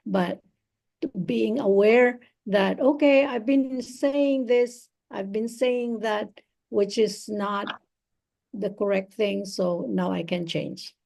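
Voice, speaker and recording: Slow encouraging woman tutor, gravelly. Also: medium pitch, darkish tone. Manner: slow, encouraging, many fillers. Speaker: English speaking tutor. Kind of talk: encouraging online speaking practice. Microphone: video call.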